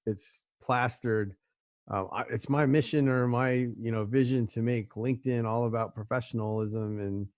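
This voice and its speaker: A man's voice: mid-range voice, dry recording. Rambling middle-aged man, dry close sound. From Orange County, California. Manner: conversational, rambling with fillers and repeated words. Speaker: host. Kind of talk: casual conversational interview.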